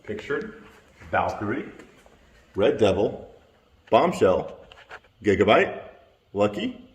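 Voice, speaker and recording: A man reads a children's book aloud. His voice sits fairly low, and the bare room echoes around it. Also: very animated, slow. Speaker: robot combat team member. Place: large bare concrete-floored room.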